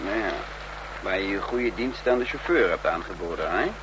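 Shrewd bossy secret operative in a radio drama, lowish voice, dry and controlled, bit of old-tape hiss. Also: male voice, expressive, normal speed. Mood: wry, tense. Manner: sardonic, controlled, formal literary wording. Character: shrewd commanding man, a cool seasoned operative who narrates. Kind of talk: radio drama acting and narration. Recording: noisy, humming old radio drama recording.

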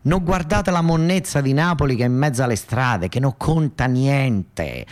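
A man's voice: sits high, smooth, voice pushed up, close to an everyday voice. A man voicing a bossy, determined young Neapolitan woman who gives emphatic orders in dialect to her brothers in a stage monologue. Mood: urgent, insistent. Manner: emphatic, commanding, dialect-laden.

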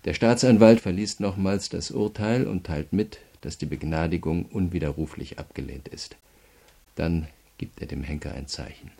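Radio crime drama: relentless prosecutor, deep creaky voice, measured and coldly courteous, never letting the prisoner off. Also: male voice, mellow, heavily acted. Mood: cold, menacing. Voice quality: gravelly. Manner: measured, methodical, coldly polite. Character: cool, relentless male state prosecutor pressing a prisoner.

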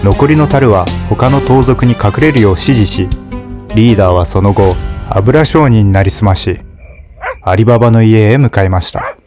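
A male storyteller reading a fairy tale in a steady, measured way. His voice sits on the low side, and music plays clearly beneath the narration.

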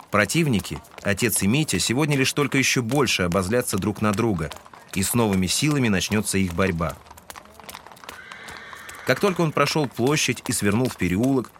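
Low-voiced male audio drama narrator. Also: fairly bright tone, a little hiss or hum. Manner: composed, literary storytelling.

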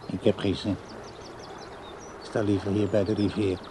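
A man's voice: fairly low pitch, flat delivery, moderate pace, warm tone, slight background noise, natural voice. An actor playing a lovesick, stubborn and evasive older father in a radio drama, defensive and wistful.

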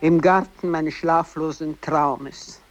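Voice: medium pitch, fairly even intonation, takes it slowly. A person reciting literary poetry as a reader in a radio piece. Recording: muffled, loud music over the voice. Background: loud music.

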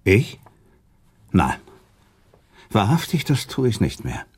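A man's voice: fairly low pitch, huge pitch swings, slow, darkish tone, breathy edge, full character voice. A man playing a noble, sceptical prince in a German radio play, arguing insistently and reasoning with rhetorical questions. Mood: frank, admitting.

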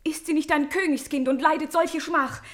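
A woman's voice: sits high, steady tone, moderate pace, lightly acted. An actress playing a young maidservant in a classical radio tragedy, intense and declamatory in her fierce devotion. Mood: fervent.

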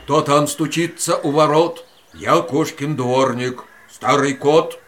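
Sharp, grumpy old tomcat. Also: male voice, a little high, takes it slowly. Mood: gruff, scolding. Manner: scolding, blustering. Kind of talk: children's audio play.

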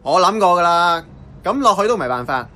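An actor playing an earnest, persuasive tea-restaurant boss with an animated Hong Kong Cantonese delivery in a radio drama. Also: male voice, sits high, fairly quick. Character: earnest, scheming small tea-restaurant boss rallying his staff.

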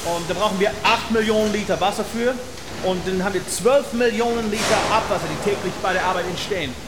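A plain-spoken slaughterhouse company man played by an actor gives facts in a slightly halting way. It sounds like an interview in a radio play, with clear ambient noise behind. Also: sits high, close to an everyday voice. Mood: matter-of-fact. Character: plain-spoken slaughterhouse company representative, proudly matter-of-fact.

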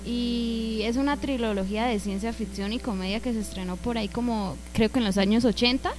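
A woman's voice: fairly high pitch, a little fast, background noise, close to an everyday voice. A Colombian woman playing a bossy, chatty young friend in an amateur radio drama, colloquial and explanatory. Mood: explaining.

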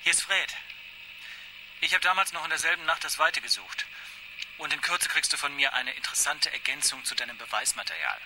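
A man's voice: on the high side, a little fast, background noise. An actor in a radio drama playing an eager, conspiratorial man leaving a message on an answering machine. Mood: urgent, conspiratorial.

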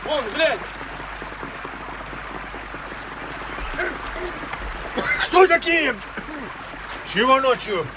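An actor in a radio play voicing an excitable, deferential Cossack sentry with folksy, barking speech. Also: male voice, extremely high pitch, takes it slowly, noisy background, exaggerated voice. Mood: barking, alarmed.

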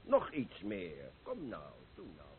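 An actor playing a gruff, modest, big-hearted master painter in a Dutch radio play, blunt and impatient, repeating himself. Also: male voice, fairly low pitch, wildly swooping pitch, a little slow. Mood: brisk, scolding. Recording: muffled old radio play recording.

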